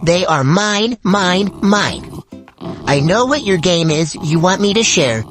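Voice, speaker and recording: Woman voicing a loud, slow, greedy grumbling pug. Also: lowish voice, lively intonation, over music. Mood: angry, possessive. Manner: possessive, defiant, emphatic. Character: greedy, selfish, grumbling male pug dog.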